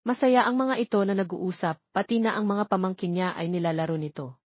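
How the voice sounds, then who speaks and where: A husky-voiced woman narrates a romance story from a script. Her voice sits at a middle pitch. The recording is dry and slightly dull. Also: a little fast, dry recording. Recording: dull lo-fi, close dry sound.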